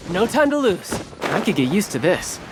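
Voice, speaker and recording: Smug, animated young warrior. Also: male voice, high voice, moderate pace, background noise. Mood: confident. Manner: breezy, theatrical, confident. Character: smug, playful young male warrior posing as salesman. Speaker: video game voice actor. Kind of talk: animated game dialogue. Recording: game music behind voice.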